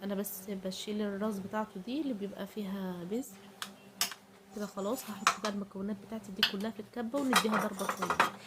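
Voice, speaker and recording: A woman's voice: medium pitch, steady tone, rapid-fire, heavy background noise. A woman with an Egyptian accent and a voice altered by a heavy cold, chattily narrating a recipe straight to her viewers in a casual way. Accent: Egyptian Arabic. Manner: chatty, addresses viewers directly. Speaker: home cooking vlogger.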